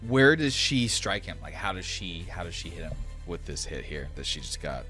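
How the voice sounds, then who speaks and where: A man running a tabletop game as game master, narrating off the cuff in a casual, animated way. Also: low-pitched, moderate pace, faint music behind.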